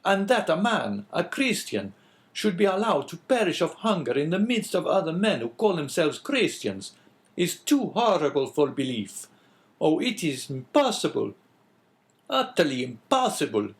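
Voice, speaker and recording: A man reading an audiobook voices a calm, earnest priest in a solemn, measured way. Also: on the high side, voice pushed up. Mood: indignant. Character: calm, earnest middle-aged male priest.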